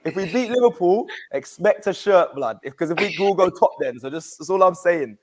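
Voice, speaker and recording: A man's voice: high voice, moderate pace. A young man, chatting casually and laughing easily as a regular guest of a football fan channel. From England. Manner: lively, slangy, laughs easily. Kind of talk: casual chat.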